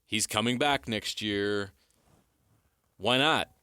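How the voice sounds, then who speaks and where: A man's voice: fairly low pitch, slow pace, bright, forceful tone, clean tone, dry recording, clean studio sound. A man co-hosting a sports radio show, giving blunt, opinionated takes in casual debate.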